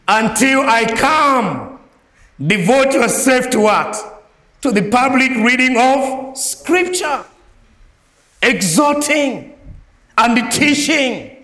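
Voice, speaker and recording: Man, Malawian accent, sermon, emphatic delivery, higher voice, echoey church hall. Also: expressive, slow. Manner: emphatic, swings between soft low phrases and raised ringing lines. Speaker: guest preacher.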